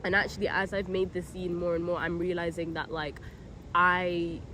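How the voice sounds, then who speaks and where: A young woman who is a native Somali speaker, talking about her work in a relaxed conversation. She talks thoughtfully and fills it with "like" and restarts. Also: fairly low pitch, moderate pace, a little hiss or hum. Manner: chatty and thoughtful, many "like" fillers and restarts. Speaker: guest, zine maker. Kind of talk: casual conversation.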